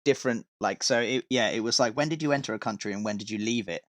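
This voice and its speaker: Man, low voice, dry close recording, informal podcast chat, rambling storyteller, loose and sweary. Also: fast, a bit bright, no echo. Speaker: podcast guest, touring metal vocalist and tour manager. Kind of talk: casual podcast chat, telling stories from touring.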